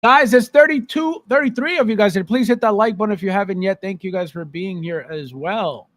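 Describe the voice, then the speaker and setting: A man's voice: sits high, brisk, a bit bright, a little hiss or hum. A man hosting a casual live stream about collectibles, conversational, repeating phrases for emphasis and checking in with the listener.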